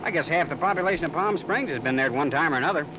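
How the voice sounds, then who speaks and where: A man's voice: on the high side, lively intonation, racing, natural voice. A man playing a jittery, evasive car salesman. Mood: uneasy. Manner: hesitant, stammering. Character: nervous evasive young male car salesman. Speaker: car dealer. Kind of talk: 1950s radio drama acting. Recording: dull lo-fi old radio recording with hiss.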